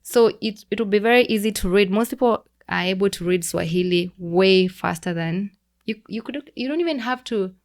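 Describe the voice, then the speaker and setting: A young woman with a Kenyan accent, a podcast guest explaining things in a relaxed, teacherly way with frequent restarts. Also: medium pitch, normal speed. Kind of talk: casual conversational explaining.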